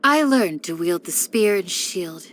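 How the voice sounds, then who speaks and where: A woman voicing a calm, devoted young desert village guardian in a video game, speaking in a composed, measured, gracious way with formal phrasing. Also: lowish voice. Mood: resolute. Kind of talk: scripted video game character voice lines.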